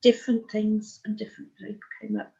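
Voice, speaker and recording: Older English woman, sixties, husky voice on slightly dull audio, giving a frank, unpolished personal account as a guest. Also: medium pitch, flat delivery, a little fast, mellow. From Oxfordshire, England. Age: 60s. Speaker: interview guest. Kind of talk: candid personal life story in conversation.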